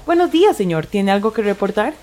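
A woman's voice: medium pitch, sing-song, a little fast, a little mellow, slight background noise, close to an everyday voice. A woman playing a formal, unimpressed airport customs agent in a scripted language-lesson dialogue, with a curt manner.

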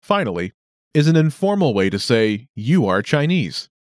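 A man hosting a scripted language lesson with clear, upbeat announcer delivery. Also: medium pitch, sing-song, normal speed, dry recording, studio quality. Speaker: lesson host.

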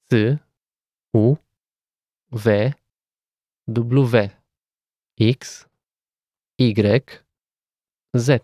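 Romanian-accented male tutor, unhurried careful diction, dry studio sound. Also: medium pitch, a little mellow, dry recording. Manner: measured, careful enunciation of letters. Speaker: language teacher. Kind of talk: scripted language lesson.

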